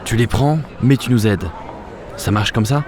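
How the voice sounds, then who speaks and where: An actor playing an observant, impulsive adventurer with a casual, streetwise way of talking in an audio drama. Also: male voice, medium pitch, normal speed, a crowd in the background, close to an everyday voice. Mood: wry, confident. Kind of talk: French audio drama acting. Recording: clear background noise.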